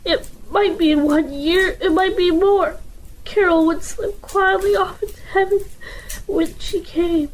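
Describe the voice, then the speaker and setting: A woman playing a gentle, devoted young mother in a family audio drama, speaking deliberately and soft-heartedly. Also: very high voice, fairly even intonation, poor audio. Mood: sorrowful.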